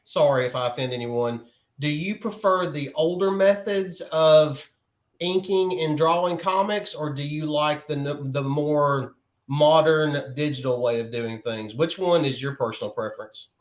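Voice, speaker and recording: A man's voice: on the high side, unhurried. A man co-hosting a podcast who asks questions casually and fumbles for his wording. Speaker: podcast co-host. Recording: echoey room.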